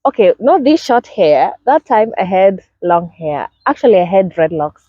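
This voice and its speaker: A young Kenyan woman with a Kenyan accent, telling personal stories to camera in a casual, animated way and acting out the greetings. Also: medium pitch, normal speed, no echo. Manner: animated, acts out greetings in a lively sing-song way. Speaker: vlogger. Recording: dry, slightly dull sound.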